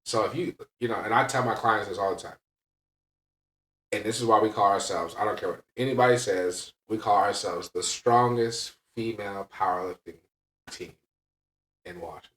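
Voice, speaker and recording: A man with a fairly low voice speaks off the mic in an echoey room. He talks like a podcast host and coach, candid and casual. His delivery is blunt and emphatic, with frequent little checks for agreement. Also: normal speed, a bit bright, distant mic.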